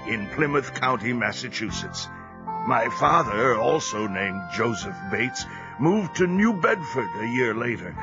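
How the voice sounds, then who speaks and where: A man playing a seafarer who narrates his own life story in a measured, scripted storytelling style. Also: mid-range voice, highs cut off. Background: music.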